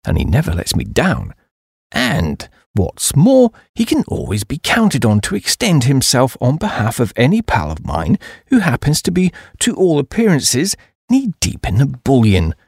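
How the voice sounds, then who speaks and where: A man voicing a flighty, excitable young upper-class English gentleman in comic audiobook narration, with breezy, slangy, exclamatory delivery. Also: mid-range voice, creaky, slightly rough, dry recording, studio quality, exaggerated voice. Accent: British upper-class. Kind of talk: first-person comic audiobook narration in character.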